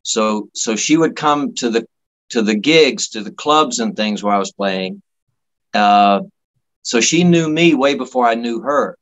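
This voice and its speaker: A man from Miami, Florida, a guest musician telling casual anecdotes in a halting, folksy, jokey way. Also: mid-range voice, expressive, a bit bright, rough recording. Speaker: guest musician, singer-songwriter.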